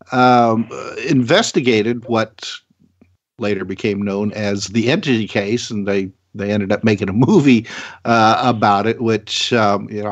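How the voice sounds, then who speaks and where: A man's voice: fairly low pitch, dry recording, muffled recording. A male radio co-host introducing segments and asking questions, hesitant with many fillers. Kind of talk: radio interview hosting.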